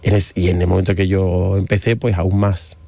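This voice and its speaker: A man's voice: low voice, flat delivery, normal speed, vocal fry, dark tone, no echo. A middle-aged man from Spain with an Andalusian accent, talking as an interview guest in a rambling, hesitant way. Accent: Andalusian Spanish. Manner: rambling, hesitant, with many fillers and restarts. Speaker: guest, photographer. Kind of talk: casual interview conversation.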